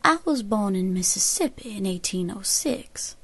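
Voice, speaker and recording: A woman playing a grim elderly frontier woman with a Southern American accent, giving a deliberate, folksy first-person account in an audio drama. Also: medium pitch, animated, fairly bright tone, husky. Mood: grave, haunted. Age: older.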